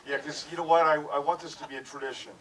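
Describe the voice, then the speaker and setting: A man's voice: on the high side, fairly even intonation, fast, a bit bright. A man in his sixties, born in Hawaii, giving a casual, joking memorial speech outdoors on a handheld mic, with many fillers and restarts. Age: 60s. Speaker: widower hosting a memorial gathering. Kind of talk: casual heartfelt speech to a crowd. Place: outdoor backyard gathering. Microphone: handheld microphone. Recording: distant mic with crowd around.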